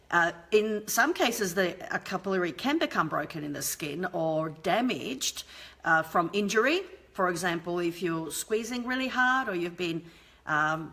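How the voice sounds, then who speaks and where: A woman's voice: lowish voice, sharp tone. A woman in her forties, a beauty therapist, chatting through a live skincare lesson from home on her webcam, explaining with many ums and restarts. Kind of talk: live-stream skincare talk. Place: home room with lamp and shelf. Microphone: webcam at desk.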